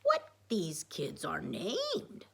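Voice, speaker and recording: A cryptic little puppet sage from a children's storybook, acted out by a woman. The voice is croaky and odd. Phrases are short and turned back to front, delivered as riddling counsel. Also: mid-range voice, wildly swooping pitch, extremely slow, heavily acted. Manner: terse, inverted syntax.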